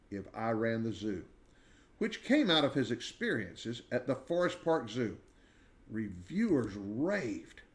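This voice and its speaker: A man in his sixties reading a children's biography aloud in a measured, expressive storyteller's way, with dramatic pauses. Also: medium pitch. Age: 60s. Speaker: read-aloud narrator.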